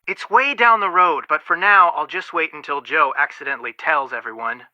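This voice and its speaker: A man's voice: on the high side, normal speed, bright, forceful tone, no echo, muffled recording. An actor voicing a level-headed, protective young male amateur detective in a video game, speaking in an earnest, matter-of-fact way with dry wit. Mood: dryly amused. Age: young adult.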